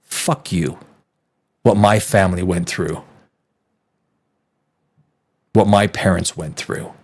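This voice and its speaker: A man's voice: low-pitched, a little slow, breathy edge. A man in his fifties with a North American accent, chatting off the cuff as a livestream host, emphatic and profane, often repeating his phrases. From Canada, born in Czech Republic. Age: 50s. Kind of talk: casual unscripted livestream chat.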